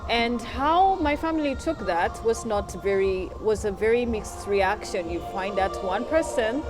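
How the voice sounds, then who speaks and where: A woman from Nairobi, answering interview questions in an articulate, measured and earnest way. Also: mid-range voice, low-quality recording. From Kenya, Nairobi.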